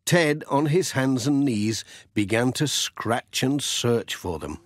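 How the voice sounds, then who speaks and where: A man's voice: mid-range voice, lively intonation, takes it slowly, no room sound, clean studio sound. A man narrating a children's audiobook story.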